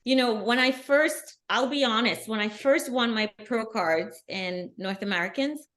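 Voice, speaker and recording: Woman, middle-aged, podcast guest, earnest, animated storytelling, casual interview. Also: a little high, a little slow. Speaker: guest, bikini athlete.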